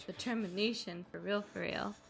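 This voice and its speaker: A woman's voice: medium pitch, fairly even intonation, normal speed, vocal fry, faint music behind. A bubbly, gushing woman livestreamer chatting casually and reacting to a game.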